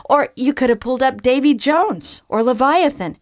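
A woman voicing a sharp-tongued female enchanted groundhog who talks with blunt, sarcastic scolding in a storybook reading. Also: high voice, lively intonation, moderate pace, no echo, clean studio sound, voice pushed up. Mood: wry.

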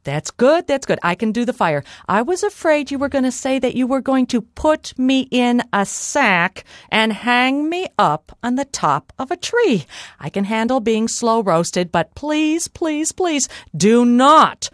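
A woman's voice: on the high side, very animated, normal speed, few pauses, dry recording, clean studio sound, voice pushed up. A woman voicing a clever, brave storybook girl with a gushing, wheedling manner. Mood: feigned relief, pleading. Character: clever, brave girl feigning relief and pleading.

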